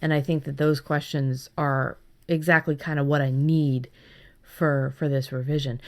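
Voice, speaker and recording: A woman's voice: low voice, flat delivery, moderate pace, a little mellow. A woman in her thirties co-hosting a podcast in casual chat, effusive and emphatic, often repeating for stress. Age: 30s. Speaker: podcast co-host.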